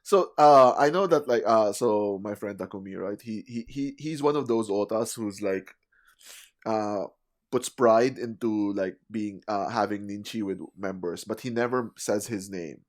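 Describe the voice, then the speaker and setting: A man's voice: on the low side, a little slow. A man hosting a casual podcast chat, talking with lots of fillers and laughing often. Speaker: podcast host.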